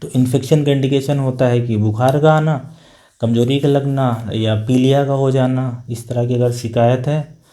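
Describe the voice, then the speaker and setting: A middle-aged male doctor speaks with a mellow, warm voice at an ordinary pitch. He explains things calmly and patiently, in a plain, unhurried manner with the odd thinking pause. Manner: calm, patient, plain-spoken with filler pauses. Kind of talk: explanatory health talk.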